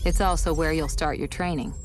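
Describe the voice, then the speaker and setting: A woman's voice: low-pitched, steady tone, a little fast, over music, close to an everyday voice. A woman playing a composed guide who gives scripted, instructive tutorial narration in a video game.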